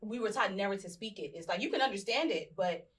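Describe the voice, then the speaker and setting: A woman's voice: medium pitch, lively intonation, fast talker, a bit bright. A woman guest on a panel show who talks reflectively and searches for words. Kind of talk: casual panel talk.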